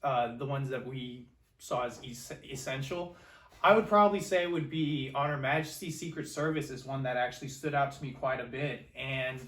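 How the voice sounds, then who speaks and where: A man's voice: medium pitch, fairly bright tone. Roomy, off-mic man chatting about films. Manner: loose, halting, informal. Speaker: podcast co-host. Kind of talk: casual film discussion. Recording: roomy echo, distant microphone.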